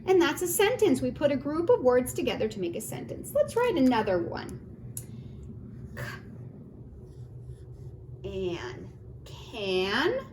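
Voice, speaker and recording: A woman with a fairly high, very lively voice gives a phonics lesson to young children. She has a sing-song teacher's delivery, sounding words out and putting questions straight to her listeners. The room echoes and there is noticeable noise behind her. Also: takes it slowly, long pauses. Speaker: early reading teacher. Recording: roomy echo, clear background noise.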